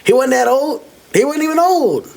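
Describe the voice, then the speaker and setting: Young man, lively agreeing chat. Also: very high-pitched, gravelly. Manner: quick agreeing replies, "yeah, facts", "dog". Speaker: podcast co-host, the nephew. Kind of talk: casual chat.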